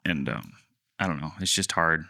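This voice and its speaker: A man around thirty co-hosting a podcast, telling a personal story in a casual, halting, rambling way. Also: extremely low pitch, animated, vocal fry, sharp tone, no room sound, clean studio sound. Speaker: podcast co-host, land agent.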